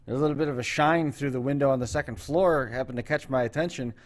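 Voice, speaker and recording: A man's voice: mid-range voice, fast talker. Male talk show host, mid-range voice, casual and rambling, takes his time through a story. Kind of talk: casual chat.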